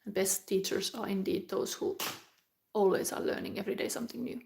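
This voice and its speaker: A middle-aged woman from Finland, giving a live tarot reading in a slow, chatty way that often drops into a whisper. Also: medium pitch, steady tone, vocal fry, darkish tone. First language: Finnish. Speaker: tarot reader.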